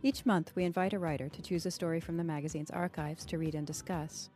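A woman with a soft-toned, mellow voice, slightly low in pitch. She hosts a literary podcast in a composed, articulate way, moving between scripted introductions and interview questions. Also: steady tone, fast talker, faint music behind. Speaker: podcast host.

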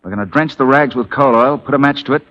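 A man playing a dashing army captain in a 1940s radio drama. His voice is bright and forceful, brisk, wry and confident. The recording is dull and lo-fi. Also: mid-range voice, close to an everyday voice. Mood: wry, commanding.

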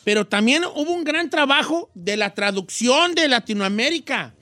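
An enthusiastic male talk show host who mixes Spanish and English. His voice is very high and bright, recorded dry and close. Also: animated, normal speed, dry recording. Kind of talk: casual talk show hosting.

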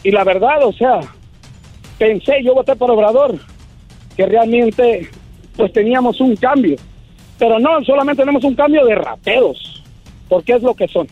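A man's voice: very high-pitched, expressive, moderate pace, pauses often. A middle-aged Mexican man from Michoacán, an activist guest giving impassioned commentary in an interview. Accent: Mexican Spanish. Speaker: migrant shelter founder and activist, interview guest. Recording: muffled tinny phone line, faint music.

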